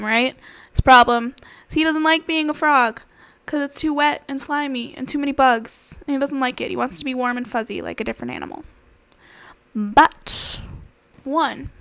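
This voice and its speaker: A woman with a high, very expressive voice talks to children as a storybook reader. She explains simply, stressing key words and checking understanding as she goes. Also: vocal fry, no echo.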